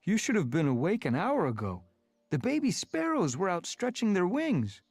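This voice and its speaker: A man putting on a lazy, squeaky house tomcat. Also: huge pitch swings, moderate pace, vocal fry, a little mellow, no room sound, faint music behind, full character voice. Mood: teasing. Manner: high eager squeaky mewing.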